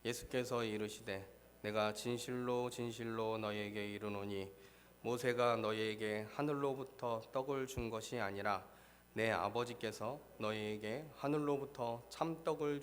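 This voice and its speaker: A man preaching a sermon as pastor, hoarse from a cold, reading scripture and then explaining it earnestly. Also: slight background noise. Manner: earnest, rises in pitch and force when stressing a point. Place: church.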